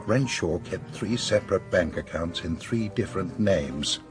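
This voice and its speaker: A dry senior policeman in a radio drama, speaking low and formally. He is guarded and measured, with music clearly heard underneath. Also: expressive. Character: dry, guarded male police chief superintendent.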